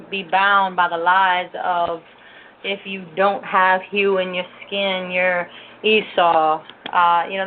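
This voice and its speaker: A woman hosting a religious talk show for women, greeting listeners and giving prayerful thanks with loose fillers. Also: medium pitch. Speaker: host. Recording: noisy, tinny phone-line audio.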